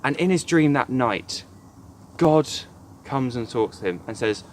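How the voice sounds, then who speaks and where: A man's voice: mid-range voice, lively intonation, a little slow, a little hiss or hum. A man, a church youth worker, gives an earnest, reflective devotional talk retelling a Bible story.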